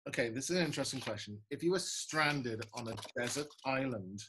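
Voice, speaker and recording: A man's voice: mid-range voice, animated, fairly quick, fairly bright tone, slight background noise. A middle-aged man from the United Kingdom co-hosting a casual video-call chat from home, dry in tone and reading out questions. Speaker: podcast co-host. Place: home room, indoors. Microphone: video call, shared webcam.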